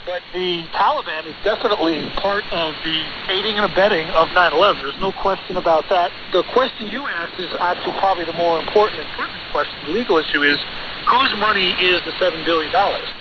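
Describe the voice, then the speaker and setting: A man's voice: high voice, fast. An American man, a lawyer being interviewed, answering in an assertive, argumentative and insistent manner over a radio relay. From United States. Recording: noisy, dull shortwave radio relay.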